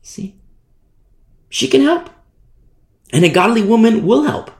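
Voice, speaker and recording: A man preaching a sermon in an emphatic, repetitive style, swinging from hushed to loud. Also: extremely high pitch, lively intonation, a little slow, gravelly. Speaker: pastor. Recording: roomy echo.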